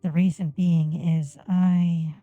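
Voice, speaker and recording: A woman whose first language is Japanese, chatting on a game stream and enunciating each word deliberately into a desk microphone. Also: lowish voice, little pitch movement, dry recording, narrow, tinny audio, soft background music. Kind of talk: casual gameplay stream chat. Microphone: desk microphone on an arm.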